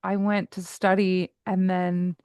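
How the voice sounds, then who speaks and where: A woman's voice: lowish voice, level tone, darkish tone, smooth, dry recording. Voice coach lady in her 40s, chatty, laughs a lot, super dry mic. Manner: laughs easily, talks in quick asides. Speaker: voice actor and coach, interview guest. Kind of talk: candid conversational interview.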